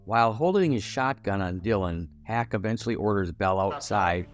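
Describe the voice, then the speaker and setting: A man's voice: fairly low pitch, lively intonation, a little fast, faint music behind. A man giving a scripted, storytelling narration for a television review video.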